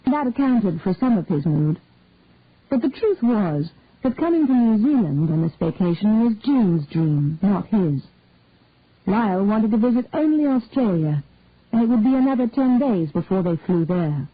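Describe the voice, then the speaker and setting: A woman reading a short detective story aloud in a measured, deliberate narration. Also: medium pitch, very animated, slightly rough. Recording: tinny phone-like dry sound.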